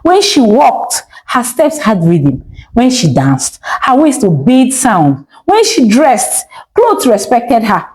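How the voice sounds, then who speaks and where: Animated Nigerian woman telling a story. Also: fairly high pitch, takes it slowly, poor audio. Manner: theatrical, with emphatic short phrases and rhetorical questions. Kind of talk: scripted dramatic story narration.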